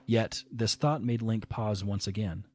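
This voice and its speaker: Deep-voiced male narrator. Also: steady tone, unhurried, noisy background. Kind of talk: scripted storybook narration.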